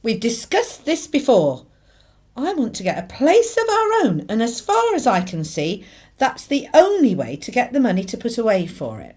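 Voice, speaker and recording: A woman's voice: on the high side, huge pitch swings, normal speed, a little mellow, husky, exaggerated voice. An actress playing a tired, indignant British wife and mother in a radio drama. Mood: weary, irritated. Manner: indignant, emphatic. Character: tired, exasperated British wife and mother, stands her ground.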